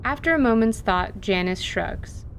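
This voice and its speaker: A woman narrates an audio drama from a script. Her voice is mid-pitched, and the recording carries clear noise and a low rumble. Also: lively intonation, a little slow.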